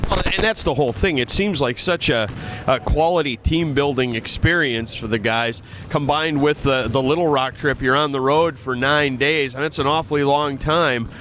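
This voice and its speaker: A man's voice: mid-range voice, moderate pace, fairly bright tone, a little hiss or hum. A man working as a sports play-by-play announcer, conducting an interview with some stops and starts.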